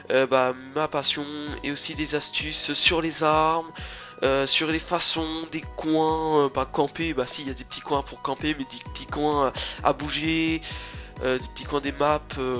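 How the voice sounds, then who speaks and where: A man's voice: a little high, a bit bright. A young man with a stuffy, nasal voice from a cold, rambling casually with lots of fillers and restarts as he introduces his gaming channel.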